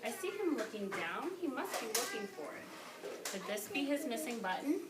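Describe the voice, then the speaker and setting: A woman's voice: a little high, sing-song, moderate pace. A woman teacher reading aloud to young children in an interactive way, questioning and instructing them as she goes. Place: classroom with children. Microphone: phone camera at a distance. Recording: distant, echoey, very noisy phone recording.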